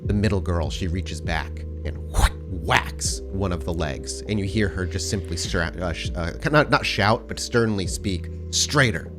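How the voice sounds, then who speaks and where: A man with a low voice runs a tabletop game as storyteller. He describes scenes deliberately, halting as he improvises. Music plays clearly behind him. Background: music bed.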